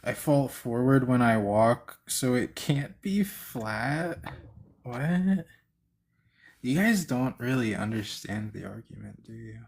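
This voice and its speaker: A man's voice: mid-range voice, animated, slow, creaky, husky. A man hosting a live stream, debating and chatting casually in a slangy, argumentative way.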